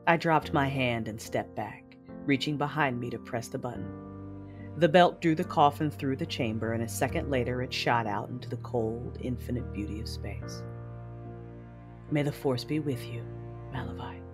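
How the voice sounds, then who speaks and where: A woman's voice: very deep voice, moderate pace, music in the background. A woman playing a grieving, commanding noblewoman who narrates her own story in a science fiction audio drama. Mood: grieving, tender.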